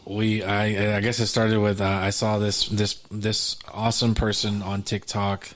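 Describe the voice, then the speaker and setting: A low-pitched man chatting loosely as a podcast co-host. He rambles, restarts his sentences and leans on fillers as he talks. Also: completely flat delivery, unhurried, fairly bright tone. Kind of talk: casual chat.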